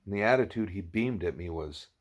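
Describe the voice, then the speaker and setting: A man's voice: low-pitched, expressive, brisk. A man reading a first-person story as scripted audiobook narration. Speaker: audiobook reader.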